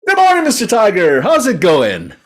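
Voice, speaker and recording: A cheeky little storybook frog, very high and swooping in pitch. He brags and chatters in excitable, repeated bursts, a quick-witted trickster with a slightly husky edge. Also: exaggerated voice. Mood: nervous, chatty.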